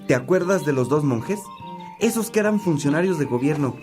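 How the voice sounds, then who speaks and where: A man's voice: on the high side, lively intonation, a little fast, over music, lightly acted. A man acting a genial priest and old companion who likes reminiscing, in a religious radio drama. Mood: instructive, genial.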